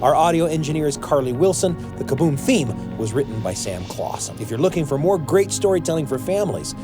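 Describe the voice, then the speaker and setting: A man's voice: a little high, very animated, a little fast. A man hosting a family podcast in a storyteller's way, alternating anecdote and scripted notices. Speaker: podcast host. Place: dry studio. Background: light music.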